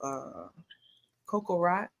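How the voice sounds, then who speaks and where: A woman's voice: fairly low pitch, sing-song, takes it slowly, gravelly, lo-fi sound. A woman co-hosting a podcast, chatting casually and playfully and laughing easily. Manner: playful, laughs easily, self-described messy. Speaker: podcast co-host. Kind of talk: casual chat.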